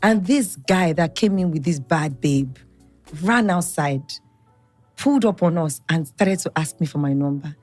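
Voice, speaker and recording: Animated woman podcast host. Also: sits low, a little slow, faint music behind. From Nigeria. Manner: animated storytelling, acts out quoted lines. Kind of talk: casual chat.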